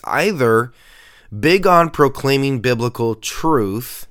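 A man's voice: mid-range voice, fairly bright tone, smooth. Earnest nasal man hosting a podcast. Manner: earnest, emphatic, with frequent uh fillers. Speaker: podcast host and pastor. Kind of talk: podcast sermon talk.

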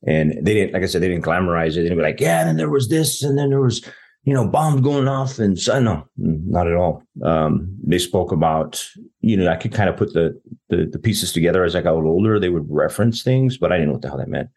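A man's voice: sits low, expressive, a little fast, vocal fry, a little mellow, husky. A man from southern New Mexico, a podcast guest, talking earnestly with many fillers and restarts. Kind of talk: conversational interview.

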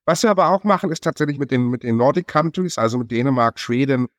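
A man's voice: mid-range voice, animated, quick pace, no echo. Upbeat man with a dry close sound. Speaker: chef association representative. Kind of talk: podcast conversation.

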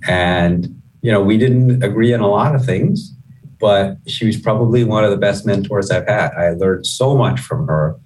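A man's voice: on the low side, normal speed, darkish tone, recorded from a distance, poor audio. A middle-aged man from Guyana who moved to the US as a child, speaking reflectively and conversationally as an executive being interviewed. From Guyana, moved to the US at eleven. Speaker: chief executive, interview guest.

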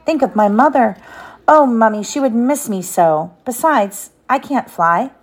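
Storybook young girl played by a woman: high, girlish, polite, excitable, full of wonder. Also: a little slow. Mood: excited, pleading. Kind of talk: children's storybook read aloud.

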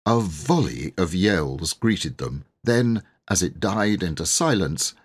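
Husky, low-voiced man narrating expressively. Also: lively intonation, slow pace. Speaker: audiobook reader. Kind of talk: expressive scripted storybook narration.

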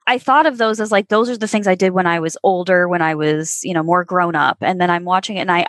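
A woman's voice: fairly low pitch, fairly bright tone, no echo. A woman in her twenties, a podcast guest, telling stories in a thoughtful, reflective way. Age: 20s. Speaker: podcast guest, former child actor. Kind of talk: casual podcast conversation.

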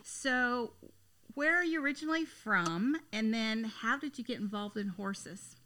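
A woman's voice: on the high side, animated, measured pace, pushed and bright. A woman hosting an interview, giving friendly brief prompts. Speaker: interview host.

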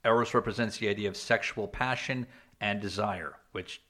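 A man's voice: on the low side, moderate pace, fairly bright tone. A man co-hosting a casual podcast chat, rambling with fillers and restarts, joking now and then. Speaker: podcast co-host.

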